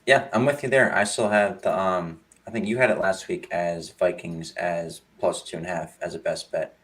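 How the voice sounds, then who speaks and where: A hoarse man co-hosting a casual sports betting chat, easygoing, with a few slips and fillers. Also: sits low, steady tone, moderate pace, a bit bright. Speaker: podcast co-host.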